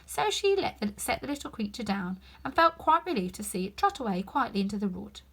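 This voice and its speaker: A woman narrates a story for children. Her delivery is expressive and emphatic, with pitch that moves up and down a lot. The voice has a soft husky breathiness. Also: brisk. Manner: animated, emphatic. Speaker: storybook reader.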